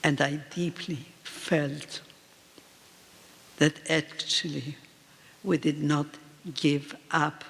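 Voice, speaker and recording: A woman in her eighties, a native Polish speaker, speaking in slow, halting short phrases as a guest at a live talk in a reverberant hall. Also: low-pitched, fairly even intonation, creaky, fairly bright tone. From Poland. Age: 80s. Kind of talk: answering on stage in a live talk. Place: event hall with audience.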